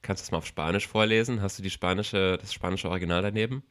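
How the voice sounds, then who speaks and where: A man's voice: low voice, steady tone, fast, fairly bright tone. A young man co-hosting a casual podcast, playfully teasing his co-host. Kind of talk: casual chat.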